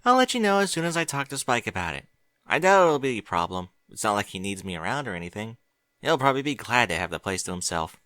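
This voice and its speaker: A man's voice: fairly low pitch, very animated, fast talker, bright, forceful tone, dry recording. A man voicing a cheerful, studious young unicorn mare in a fan fiction audiobook, speaking politely. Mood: friendly. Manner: polite, bookish.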